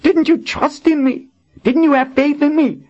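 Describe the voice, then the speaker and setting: A man's voice: extremely high pitch, fairly even intonation, moderate pace, a little mellow, voice pushed up. A man voicing a fierce, proud young warrior woman who speaks with an intense, urgent edge. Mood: pleading. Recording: dull lo-fi audiobook recording.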